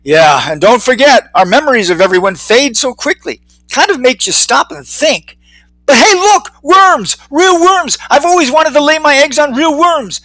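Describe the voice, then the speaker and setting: A man's voice: sits high, sing-song, normal speed, hardly any pauses, fairly bright tone, no echo, voice pushed up, full character voice, poor audio. A man voicing a flippant, doubtful fly in a story, with an offhand, wry manner.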